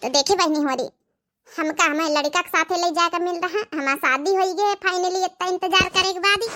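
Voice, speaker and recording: A woman's voice: extremely high pitch, normal speed, sharp tone. A woman voicing a devoted, tender young sister in a homemade cartoon family drama, with an Awadhi accent. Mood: happy, proud. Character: devoted young sister raising her nephew, tender and self-sacrificing. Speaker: storyteller of a cartoon channel.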